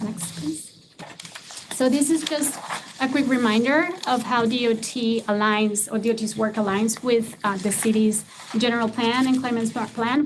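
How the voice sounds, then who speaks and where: A woman city transportation planner presenting to a public commission in a soft, low-key way, with many fillers. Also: noisy background. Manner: soft low-key, frequent fillers, says she has a low voice. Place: city commission meeting room.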